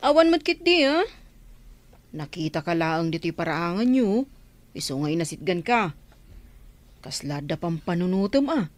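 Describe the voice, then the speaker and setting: Lively, teasing Ilocano neighbour woman. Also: lowish voice, moderate pace, pauses often, no echo. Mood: teasing, curious. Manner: teasing, exclaiming. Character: teasing, skeptical female neighbour and friend. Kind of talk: Filipino radio drama acting.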